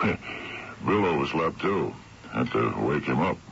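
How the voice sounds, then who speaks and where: An actor playing an easygoing, amused uncle in a radio drama, with a gravelly voice and folksy manner. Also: male voice, very deep voice, animated, takes it slowly, a bit bright, full character voice. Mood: cheerful. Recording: dull, noisy old radio broadcast.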